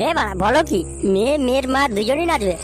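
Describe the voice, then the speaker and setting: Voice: mid-range voice, animated, fast talker, over music, lightly acted. A performer voicing a cheeky, foul-mouthed young male slacker in a comic cartoon, brash and whiny.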